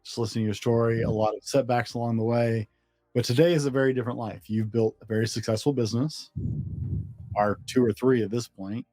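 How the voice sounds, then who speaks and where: A man's voice: medium pitch, fairly even intonation, a little fast, dark tone, no echo. A man hosting an interview, encouraging his guest and summing up points for his listeners. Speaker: podcast host.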